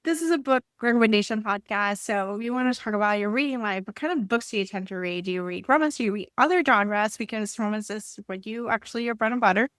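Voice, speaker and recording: A woman's voice: mid-range voice, fast, hardly any pauses, fairly bright tone, clear, resonant tone, no room sound, clean studio sound. A woman hosting a casual podcast in an upbeat, enthusiastic way. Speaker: podcast host.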